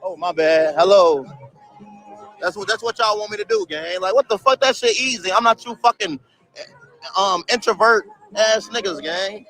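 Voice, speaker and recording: Bright, high-voiced young man streaming in slang. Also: moderate pace, soft background music, low-quality recording. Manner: loose street slang, swearing, laughing at chat. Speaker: livestreamer. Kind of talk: casual livestream chat reading viewer comments.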